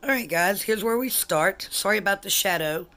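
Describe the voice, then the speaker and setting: A woman's voice: mid-range voice, lively intonation, moderate pace, a bit bright. A woman, chatting casually as she walks viewers through her buffet table. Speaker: collector showing a buffet table. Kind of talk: casual show-and-tell vlog. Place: home dining room, indoors. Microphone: handheld phone camera.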